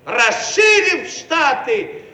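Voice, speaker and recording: A self-important Soviet official with a very high, declamatory voice. He is officious and pompous, in the manner of stage satire. The old radio recording is echoey and distant. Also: big pitch swings, drawn-out words, pushed and bright, exaggerated voice. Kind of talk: theatrical satirical radio comedy acting.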